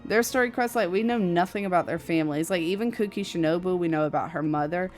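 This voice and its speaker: A young adult woman giving casual live-stream game commentary, chatty, slangy and quick to swear and react. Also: lowish voice, darkish tone. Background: game music.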